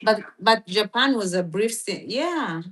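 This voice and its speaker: A woman's voice: medium pitch, animated, unhurried, fairly bright tone. A woman chatting casually on a live stream, rambling with lots of fillers as she thinks aloud and reads from a search. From Philippines. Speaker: livestream host.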